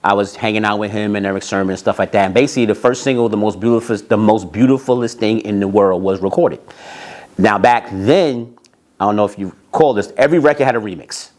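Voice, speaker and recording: Middle-aged American man, low voice, casual storyteller who leans on key phrases by repeating them. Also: fast talker, a little mellow. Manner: emphatic, repeats phrases for emphasis. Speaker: host, former record label A&R representative.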